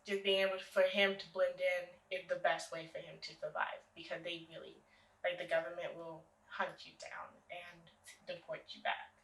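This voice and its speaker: A young American woman talking as a guest, relaxed and halting with many fillers. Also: lowish voice, reverberant, distant mic, poor audio. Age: young adult. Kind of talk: casual interview.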